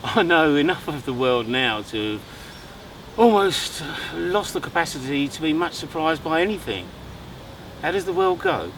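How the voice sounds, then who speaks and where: A man acting a swooping, world-weary Dickensian gentleman. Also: a little high, big pitch swings, moderate pace. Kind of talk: dramatic literary recitation. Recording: distant, noisy amateur recording.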